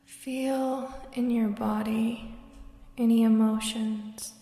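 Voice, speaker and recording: A woman guides a meditation in a slow, flat, soothing voice with a gravelly, mellow tone. She often slips into whispers. The recording rings with long reverb over faint music. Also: fairly high pitch. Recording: roomy echo with long reverb and soft music.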